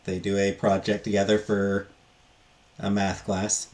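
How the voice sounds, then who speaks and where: A man giving a casual, unscripted book review in a halting, rambling way that often trails off. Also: fairly low pitch, completely flat delivery, a little mellow.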